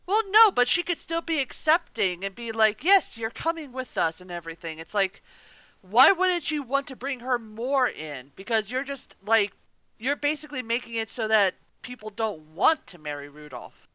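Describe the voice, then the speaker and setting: A woman with a bright, clear voice that swings in pitch. She chats casually and gives her opinions, close and dry on the mic. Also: very animated, normal speed, no room sound, low-quality recording. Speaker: podcast co-host.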